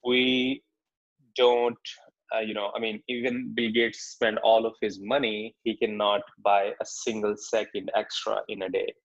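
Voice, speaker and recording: A man's voice: on the low side, flat delivery, measured pace, highs cut off. A young man from Pakistan with a Pakistani accent, telling his personal story in a talk with slides, hesitant and full of fillers and restarts. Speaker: speaker giving a talk.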